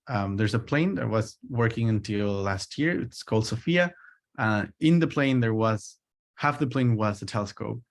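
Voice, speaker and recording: Low-voiced Chilean man lecturing haltingly. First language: Spanish. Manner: hesitant, halting delivery with repeated words. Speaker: postdoctoral researcher giving a lecture. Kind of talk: informal academic lecture.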